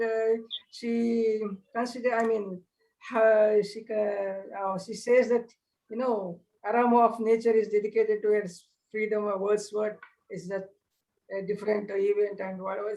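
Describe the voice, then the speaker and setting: A woman's voice: mid-range voice, darkish tone, poor audio. A woman, informally hosting an event over an online call. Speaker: event host.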